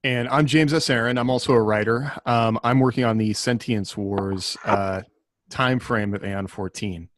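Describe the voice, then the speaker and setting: A man's voice: mid-range voice, steady tone, moderate pace, no echo. A man hosting a casual podcast chat among fellow writers.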